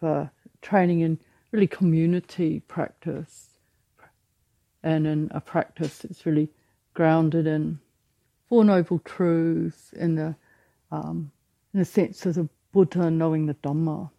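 A woman in her sixties giving a dharma talk as a retreat teacher, reflective and halting, often restarting her sentences. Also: sits low, steady tone, vocal fry, warm tone, dry recording, highs cut off.

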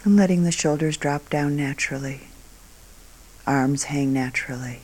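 A woman meditation teacher guiding a meditation calmly and unhurriedly, in short phrases with long pauses, her voice soft and breathy at phrase ends. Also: low-pitched, low-quality recording. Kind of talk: guided meditation.

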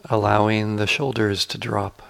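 A man leading a guided meditation as a teacher, speaking gently and unhurriedly with long pauses. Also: on the low side, little pitch movement, vocal fry.

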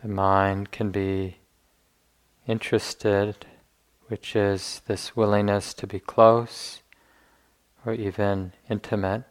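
A man leading a guided meditation as a teacher, speaking gently in unhurried phrases with long pauses. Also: fairly low pitch, level tone.

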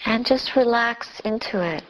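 A woman leading a guided meditation as a teacher, speaking gently and unhurriedly in short phrases with long pauses. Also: fairly high pitch, poor audio. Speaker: Buddhist nun, meditation teacher.

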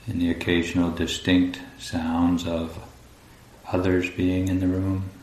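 Low, even-voiced man guiding meditation. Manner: calm, unhurried, long pauses between phrases. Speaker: meditation teacher. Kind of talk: guided meditation. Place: indoor room at a group retreat. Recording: roomy echo, faint low rumbling noise.

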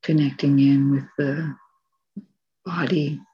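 A woman teaching meditation, giving calm, gentle spoken guidance with long pauses between phrases. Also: rumbling low, husky, lo-fi sound. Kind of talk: guided meditation, soft spoken instruction.

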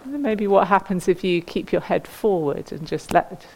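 A woman teaching mindful movement, giving gentle, unhurried, inviting instructions with pauses between them. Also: fairly low pitch, lively intonation, rough recording.

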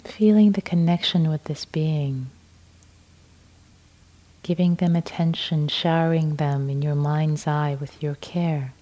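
Slow, soft-spoken woman guiding meditation. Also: low voice, steady tone. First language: Farsi. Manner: slow, soft and soothing, with long pauses. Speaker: meditation teacher. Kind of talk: guided meditation.

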